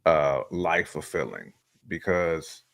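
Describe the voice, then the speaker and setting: Middle-aged man, very deep voice, dry sound, casual colloquial chat, talk show panelist. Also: expressive, slow pace, gravelly, a bit bright, no room sound. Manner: informal, colloquial, laughs while talking.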